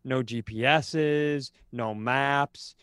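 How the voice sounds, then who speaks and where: Man, podcast co-host, clear smooth voice, casual storytelling, rambling and self-interrupting, dry humour, no room echo. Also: medium pitch, drawn-out words, fairly bright tone. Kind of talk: casual comedic chat and storytelling.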